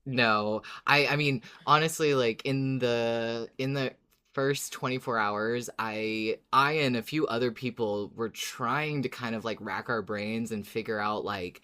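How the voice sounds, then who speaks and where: Very deep-voiced woman podcaster. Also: a little slow, a bit bright. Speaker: podcast co-host. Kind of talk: casual fan discussion of an audio drama.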